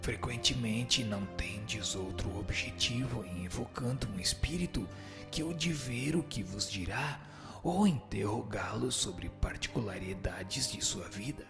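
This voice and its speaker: A man's voice: fairly low pitch, expressive, measured pace, vocal fry, over music. A man playing a solemn spirit instructor in a radio drama, reciting didactic answers in an admonishing, formal way. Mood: stern, admonishing. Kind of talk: dramatized reading of a spiritist text.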